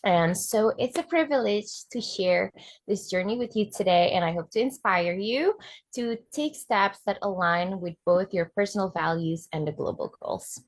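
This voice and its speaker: A woman's voice: medium pitch, normal speed, no room sound. A woman from Indonesia, a fashion designer, giving an earnest webinar presentation as the guest speaker.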